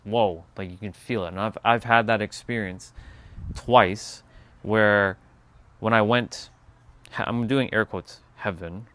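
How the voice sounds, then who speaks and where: A man hosts a relaxed spiritual livestream, thinking out loud and replying to chat as comments come in. His voice sits fairly low and sounds clean and smooth, and his talk drifts loosely with the odd casual swear. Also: no echo. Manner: rambling, musing, answers viewer chat. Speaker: livestream host.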